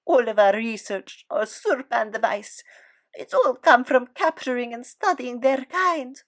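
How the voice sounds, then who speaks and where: Woman acting a slow, earnest Soviet woman scientist. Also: fairly high pitch, lively intonation. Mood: pleading, defiant. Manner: earnest, pleading. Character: compassionate, conscience-stricken Soviet woman scientist. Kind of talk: audio horror drama acting.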